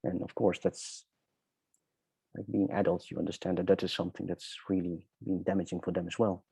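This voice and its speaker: A middle-aged man with a Dutch accent talks reflectively about his own life. His voice is low, dark and husky, and he often gropes for the right word. The sound is close and dry. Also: level tone, dry recording. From Netherlands. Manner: halting, searching for words. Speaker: interview guest. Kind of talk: reflective personal storytelling in conversation.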